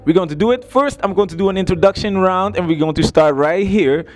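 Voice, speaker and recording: A man's voice: sits high, a little fast. A man hosting a group interview at a dance festival, chatty and full of fillers. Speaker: host.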